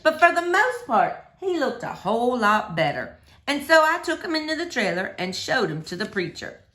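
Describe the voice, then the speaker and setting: A woman reading a storybook aloud to children with expressive narration. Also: fairly high pitch, moderate pace. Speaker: read-aloud host for children.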